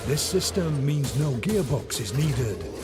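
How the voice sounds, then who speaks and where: A man's voice: medium pitch, very animated, slow. A man reading scripted, dramatic documentary narration. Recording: loud music under the voice.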